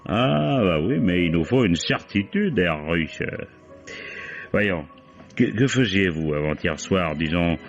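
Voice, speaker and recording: Shrewd polite police chief grilling someone, lowish voice, dull vintage radio drama sound. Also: male voice, lively intonation, slow, heavily acted. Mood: probing, insistent. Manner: courteous, chuckling, probing interrogator. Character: shrewd, affable but insistent male police commissioner. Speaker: actor in a radio mystery drama. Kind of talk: vintage French radio play acting. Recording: dull old radio recording, music underneath.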